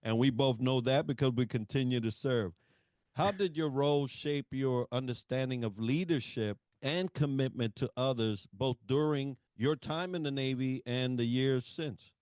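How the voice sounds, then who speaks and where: A man's voice: mid-range voice, normal speed, clear, resonant tone, dry recording. Man hosting a podcast, dry close sound. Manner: warm formal introductions, reads prepared questions. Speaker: podcast host.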